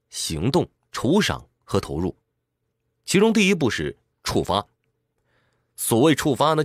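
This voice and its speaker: A man's voice: mid-range voice, no room sound, clean studio sound. A man narrates a scripted explainer in a didactic way, posing questions and answering them. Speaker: audiobook summary narrator.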